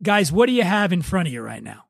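High-pitched man hosting a casual podcast. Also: big pitch swings, fast, gravelly, no echo, clean studio sound. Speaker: podcast host. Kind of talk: casual chat about wine.

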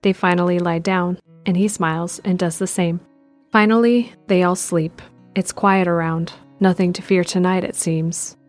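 A woman's voice: lowish voice, fairly even intonation, normal speed. A woman reading scripted narration for an audio drama. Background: faint music.

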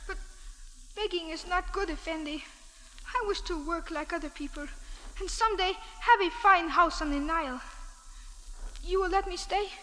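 Shrill, pleading beggar boy. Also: normal speed, pauses often, bright, forceful tone. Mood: earnest pleading. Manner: pleading, deferential, hesitant. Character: frightened, pleading young Egyptian beggar boy. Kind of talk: 1940s radio acting. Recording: old radio recording with long reverb.

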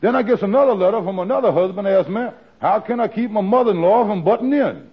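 A husky, high-voiced blustering schemer. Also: male voice. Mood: glib bluffing. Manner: blustering, stammering, fast-talking excuses. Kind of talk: 1940s radio comedy acting. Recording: dull old radio recording.

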